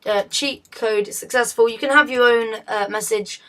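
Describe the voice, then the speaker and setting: A woman with a hoarse, fading voice, talking through a game tutorial in a chatty, self-correcting way. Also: fairly high pitch, fairly even intonation, normal speed, a bit bright. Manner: chatty, self-correcting, often laughs at slips. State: hoarse, losing her voice. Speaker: video tutorial host.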